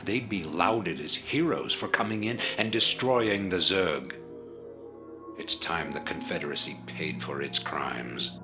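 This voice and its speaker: Deep, commanding rebel leader. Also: male voice. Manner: measured, authoritative, oratorical. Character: commanding, calculating male rebel leader, grave orator. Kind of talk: video game cutscene acting.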